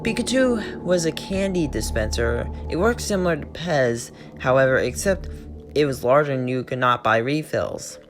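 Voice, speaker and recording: A man's voice: mid-range voice, expressive, moderate pace, faint music behind. A man hosting his channel who reads a creepypasta aloud word for word, often stumbling and restarting his sentences. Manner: plain reading with frequent stumbles and restarts. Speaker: channel host and story reader.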